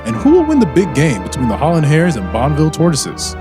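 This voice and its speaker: A man's voice: on the high side, huge pitch swings, quick pace. Dramatic male radio serial narrator over music. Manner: theatrical, suspense-building. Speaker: narrator and announcer. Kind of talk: old-time radio serial narration and sponsor read. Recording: music under the voice.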